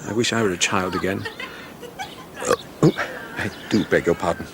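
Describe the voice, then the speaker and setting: A man's voice: sits low, background noise. An actor playing a stern, pompous middle-aged Victorian father with an English accent and formal manner in a radio drama. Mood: fretful, disapproving. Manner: formal, pompous, measured authority. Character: stern, stuffy Victorian father, fretful head of household. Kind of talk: 1990s British radio drama acting.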